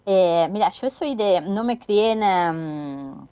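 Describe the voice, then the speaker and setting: A woman's voice: medium pitch, lively intonation, slow, dark tone, clean tone, no room sound, lo-fi sound. A woman from Italy with an Italian accent, a filmmaker answering interview questions hesitantly, with many fillers and restarts. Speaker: filmmaker guest. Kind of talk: casual interview.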